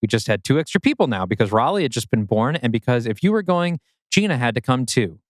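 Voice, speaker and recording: A man's voice: medium pitch, sing-song, fast talker, no room sound, clean studio sound, close to an everyday voice. A man in a dramatic script reading, playing an anguished, bitter father in his thirties who questions intensely and pointedly. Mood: bitter, accusing. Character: anguished 39-year-old father, bitter and accusing.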